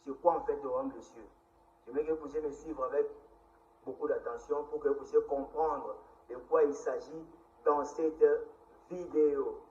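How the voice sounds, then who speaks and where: A man from Kinshasa with a Congolese accent, teaching the Bible as a preacher in a deliberate, halting and repetitive way. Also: on the high side, fairly even intonation, a little mellow, far from the mic. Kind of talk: recorded Bible teaching, reading scripture aloud. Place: indoor room at a computer. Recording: roomy, echoing, dull lo-fi sound.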